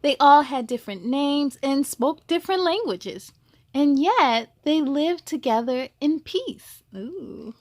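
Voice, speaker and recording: A woman reading a children's story aloud as host, expressive and exclamatory, speaking to young listeners. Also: high-pitched, dry recording. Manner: slow, deliberate, exclamatory delivery for children.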